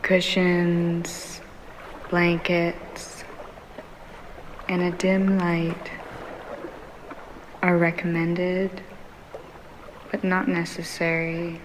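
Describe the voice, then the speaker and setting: A woman guides a meditation in a soothing, low-set voice that stays very even. She speaks extremely slowly, leaving long pauses between short phrases. Waves and rain are clearly heard behind her. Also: noisy background. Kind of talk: guided yoga nidra meditation, ASMR. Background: ambient ocean waves and rain.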